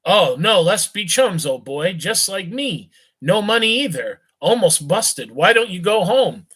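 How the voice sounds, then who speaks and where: A man's voice: high-pitched, sing-song, unhurried, fairly bright tone, husky, pitched above the performer's own voice, exaggerated voice. A man voicing a drunk, rich young man of about eighteen, slurring and rambling in a thick voice. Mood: drunken rambling. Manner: slurring and hiccuping, lurching tipsy chatter.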